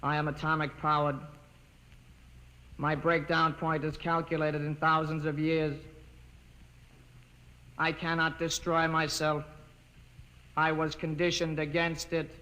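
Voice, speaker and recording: An actor playing a lonely, emotionless synthetic being in a 1950s radio drama, speaking in a measured monotone. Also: male voice, a little high. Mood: flat, resigned. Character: lonely atomic-powered synthetic being, emotionless, longing for death. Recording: noisy old radio recording.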